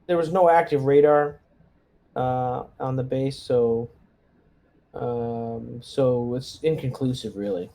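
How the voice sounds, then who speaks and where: A man's voice: medium pitch, steady tone, slow, dark tone. A man chatting casually as a player in a tabletop roleplaying game, talking in a tentative, hedging way. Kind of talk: casual game planning chat.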